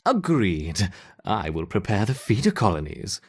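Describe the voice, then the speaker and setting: A man playing a gleefully sadistic scientist in a video game, theatrical and savoring, with mock innocence and a husky voice. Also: fairly low pitch, wildly swooping pitch, a little slow, full character voice. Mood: dutiful, clipped. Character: gleefully sadistic male scientist running ant experiments. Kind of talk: video game character acting.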